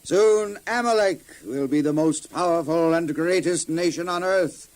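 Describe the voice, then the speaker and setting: An actor playing an ambitious, scheming warlord king with a grandiose, deliberate delivery in an audio Bible drama. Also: fairly high pitch, darkish tone, natural voice.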